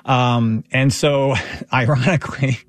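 A middle-aged man from Texas, a professor, talking thoughtfully as an interview guest, with measured phrasing and small restarts. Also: medium pitch, fairly even intonation, no room sound. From Texas, Houston. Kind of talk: interview guest, reflective storytelling.

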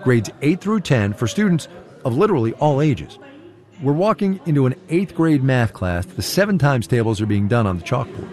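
Man, radio reporter, scripted narration, very animated intonation, mid pitch, conversational storyteller. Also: normal speed.

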